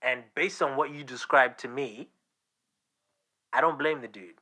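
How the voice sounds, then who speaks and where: Sharp-voiced, cocky young Zimbabwean man. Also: mid-range voice, measured pace, breathy edge. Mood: blunt, insistent. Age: young adult. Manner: emphatic, repetitive, argumentative. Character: Taf, cocky, blunt young male best friend. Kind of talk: naturalistic podcast audio drama.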